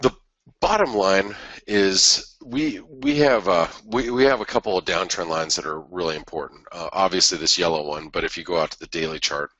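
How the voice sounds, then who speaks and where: A man with a stuffy head cold and a raspy voice, hosting a trading webinar in a rambling, casual way with many restarts. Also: sits low, normal speed, pushed and bright, no room sound, highs cut off. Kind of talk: casual market analysis talk.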